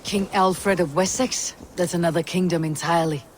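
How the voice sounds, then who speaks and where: A woman's voice: fairly low pitch, fairly even intonation, moderate pace, background noise, lightly acted. A woman playing a blunt Viking warrior. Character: tough female Viking warrior, calm and blunt. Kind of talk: video game cutscene acting. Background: orchestral game music.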